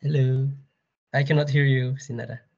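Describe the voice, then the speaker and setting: Indonesian-accented male lecturer, mid-pitched, upbeat and coaxing, dull lo-fi video call sound. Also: fairly even intonation, moderate pace, creaky, darkish tone. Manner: upbeat and coaxing, with frequent ums and uhs. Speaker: university language lecturer. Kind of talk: online class for schoolchildren.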